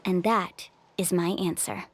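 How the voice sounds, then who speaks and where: An actress playing an upbeat, caring young tour guide in a video game, friendly, earnest and reassuring. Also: female voice, fairly low pitch, steady tone, unhurried. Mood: earnest, calm. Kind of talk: video game character dialogue.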